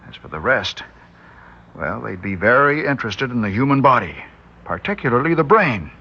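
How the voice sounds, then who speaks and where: Husky, urgent scientist. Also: male voice, mid-range voice, big pitch swings, moderate pace, sharp tone, muffled recording, full character voice. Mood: grave. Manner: earnest, speculative, urgent. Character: scientist doctor, grave, warning, male. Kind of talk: 1960s radio drama acting.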